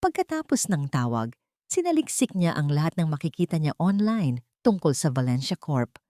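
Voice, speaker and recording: A woman reading a scripted romantic drama as a storyteller, with a measured, dramatic delivery. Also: sits low, sing-song, warm tone, dry recording, studio quality. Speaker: story narrator.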